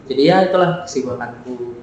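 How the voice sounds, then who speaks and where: A man's voice: mid-range voice, crowd noise. Young Jakarta man, echoey room. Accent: Jakarta Indonesian. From Jakarta, Indonesia. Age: 20s. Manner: relaxed, halting, frequent "eh" fillers and chuckles. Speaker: podcast guest. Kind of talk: casual podcast chat.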